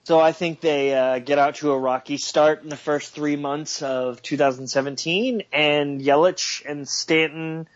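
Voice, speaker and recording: A man's voice: on the high side, fairly even intonation, measured pace, hardly any pauses, highs cut off. A man co-hosting a casual sports podcast, joking and making bold predictions with frequent stammered restarts. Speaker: podcast co-host.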